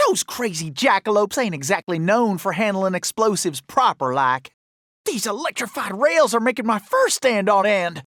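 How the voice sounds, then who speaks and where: High, excitable Southern cowboy critter. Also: male voice, normal speed, no room sound, clean studio sound. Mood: folksy, musing. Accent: American Southern cowboy drawl. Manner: folksy, excitable, exclamatory cowboy slang. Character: cocky, folksy cartoon Old West raccoon gunslinger, male. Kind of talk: animated video game character acting.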